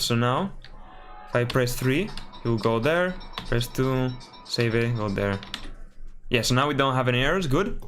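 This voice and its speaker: A man from Spain with a Spanish accent, thinking aloud while building a game on a live stream and often correcting himself with a quick sorry. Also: mid-range voice, fairly bright tone. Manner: mutters through steps, apologizes and corrects himself often.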